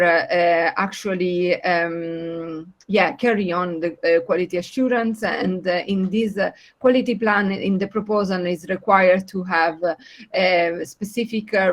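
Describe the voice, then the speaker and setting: A woman speaks English with an Italian accent, her voice sitting a little low for a woman. She presents slides to an online meeting, halting often with filler sounds as she searches for words. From Italy. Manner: hesitant, many filler sounds and restarts. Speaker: project partner presenting at a meeting.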